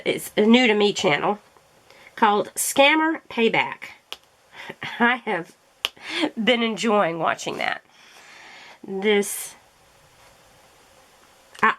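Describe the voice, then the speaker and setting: A woman's voice: medium pitch, vocal fry, fairly bright tone. A woman in casual, rambling chat as a crafting vlogger, often correcting herself, her throat irritated by allergies. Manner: rambling, chatty, self-correcting.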